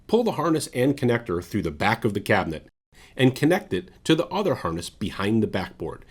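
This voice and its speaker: Low, husky male instructional narrator. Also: very animated, a little fast. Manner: methodical, directive. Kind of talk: scripted step-by-step instructional narration.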